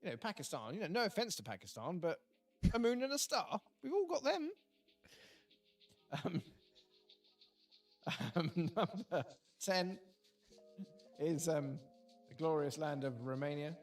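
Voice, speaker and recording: A man hosting a casual live quiz, dry and wry, often pausing with ums. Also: on the high side, big pitch swings, faint music behind. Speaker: quiz host.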